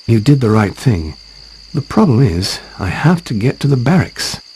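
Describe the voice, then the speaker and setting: A man's voice: mid-range voice, very animated, normal speed, a little mellow, slight background noise, natural voice, low-quality recording. An actor playing a confident, courteous masked swordsman hero in an adventure game cutscene, with a wry manner. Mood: serious, courteous.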